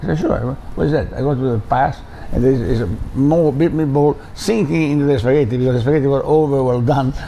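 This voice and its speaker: A man's voice: mid-range voice, fast talker, gravelly, hardly any pauses, soft background music, low-quality recording. An older man with an Italian accent, a restaurant owner and chef telling stories in a seated interview, with many restarts and Italian phrases. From Italy. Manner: animated storyteller, repeats words, drops into Italian. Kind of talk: casual interview. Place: bright room by a window.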